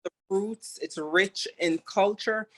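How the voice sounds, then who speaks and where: A woman's voice: mid-range voice, slow pace. Chatty upbeat woman from Jamaica. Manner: chatty and upbeat, animated quoting. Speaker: guest, home cook and food creator. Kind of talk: casual interview chat.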